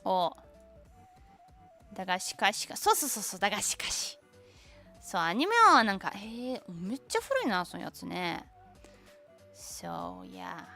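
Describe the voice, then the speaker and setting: A young woman whose first language is Tatar, chatting casually on a stream, halting and searching for words, with many fillers. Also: medium pitch, wildly swooping pitch, vocal fry, sharp tone, faint music behind. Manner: switches between Japanese and English. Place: lives in Japan.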